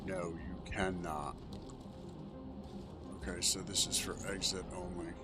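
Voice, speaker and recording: Guy streaming a game, lowish voice, just thinking out loud casually, music going behind him. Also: level tone, slow pace. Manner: musing aloud, thinking through questions. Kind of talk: casual gameplay commentary.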